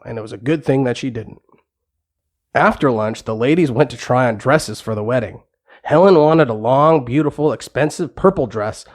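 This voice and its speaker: A man's voice: medium pitch, darkish tone. Male storybook narrator. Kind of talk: scripted children's storybook narration.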